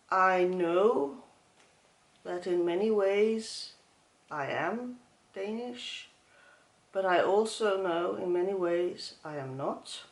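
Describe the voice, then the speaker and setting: A woman talking slowly through a casual stitching vlog, rambling and pausing often with ums and uhs. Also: fairly low pitch, reverberant. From Switzerland. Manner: slow and rambling, with many ums. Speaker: flosstube vlogger.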